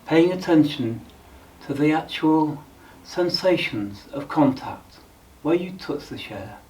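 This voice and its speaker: A man leading a guided meditation in a calm, measured, instructional way with frequent pauses. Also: fairly high pitch, recorded from a distance, poor audio.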